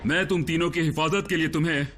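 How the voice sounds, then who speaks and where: A man's voice: a little high, steady tone, fast talker, faint music behind, natural voice. A man voicing a bossy, irritable government agent in a dubbed cartoon, blustering and indignant. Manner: bossy, indignant, loud-mouthed.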